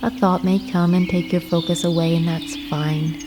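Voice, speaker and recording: A woman narrating a guided meditation, giving gentle, soothing instructions with unhurried pauses. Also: lowish voice, level tone, very noisy. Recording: clear nature ambience with birdsong. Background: birdsong and nature ambience.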